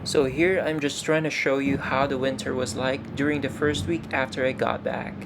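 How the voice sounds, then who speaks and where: A man's voice: mid-range voice, flat delivery, normal speed, gravelly, background noise. A young man from the Philippines with a Filipino accent, talking casually to the camera as a vlogger. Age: young adult. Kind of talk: casual vlog talk to camera.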